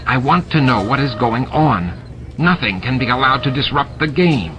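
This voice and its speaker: A man's voice: mid-range voice, expressive, normal speed, voice pushed up, natural voice. A man voicing an irritable, anxious alien bartender in an audiobook, insistent and exasperated. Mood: urgent, forceful. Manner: insistent, exasperated, projecting over others. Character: scheming alien bartender, irritable, anxiously commanding his gambling den. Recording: music and effects mixed under the voice.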